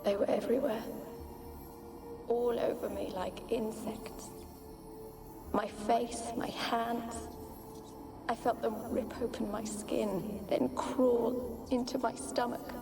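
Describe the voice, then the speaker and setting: A woman's voice: on the high side, little pitch movement, slow pace, creaky, pauses often. An actress playing the ghost of a sorrowful young noblewoman in a video game, pleading and evasive, with archaic, plaintive phrasing. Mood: haunted, grieving. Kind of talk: dramatic video game acting. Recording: echoing, distant ghostly voice over music.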